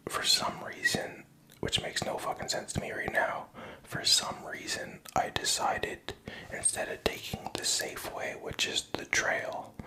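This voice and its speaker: A young man whispering a rambling personal story, profane and self-mocking. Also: low-quality recording.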